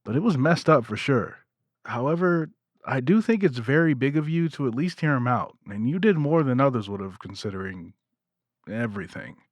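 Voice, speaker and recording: Kind, earnest man with lively intonation acted. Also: mid-range voice, normal speed, no echo, lo-fi sound, natural voice. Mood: caring, tactful. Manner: tactful, earnest. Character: kind, considerate brother, newly acquainted with his sister. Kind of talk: audio drama acting.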